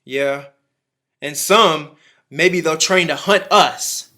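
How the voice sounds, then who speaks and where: A man's voice: a little high, slow pace, pushed and bright. An actor playing an embittered, zealous militiaman who survived an alien invasion, in a radio drama, speaking in emphatic, folksy, clipped phrases. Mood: fervent, scheming.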